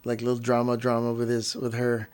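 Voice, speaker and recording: A man with a mid-pitched voice chats casually as a parent on a family show. He peppers his talk with "you know" and repeats short phrases to drive them home. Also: monotone, fairly quick, darkish tone, clear, resonant tone. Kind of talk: casual conversational chat with partner.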